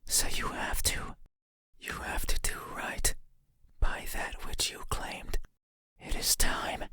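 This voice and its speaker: An actor voicing a creepy, intimate bedside monster in a horror game, pleading and menacing in a breathy, insistent whisper. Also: natural voice. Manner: slow, insistent, eerily deliberate.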